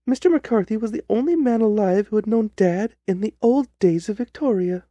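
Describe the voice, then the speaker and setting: A man voicing an anxious, pleading young gentlewoman in an audiobook story. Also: high-pitched, moderate pace, dry recording, pitched above the performer's own voice, natural voice. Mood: distressed, sorrowful. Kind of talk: audiobook character reading.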